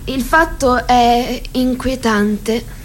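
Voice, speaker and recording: A woman playing the narrator of a political radio drama, theatrical and deliberate, drawing out the ends of phrases. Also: fairly high pitch. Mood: wry, ominous. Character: female narrator chronicling a grotesque political meeting.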